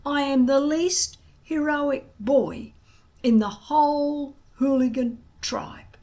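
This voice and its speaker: A woman's voice: high voice, slow, mellow, pitched above the performer's own voice. A woman voicing a gloomy, unheroic young Viking boy in a children's storybook, complaining in a plaintive, emphatic way.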